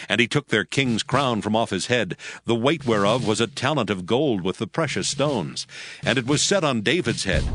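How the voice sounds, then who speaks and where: A man narrating scripture in a steady, solemn reading for a dramatized audio presentation. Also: medium pitch, slight background noise.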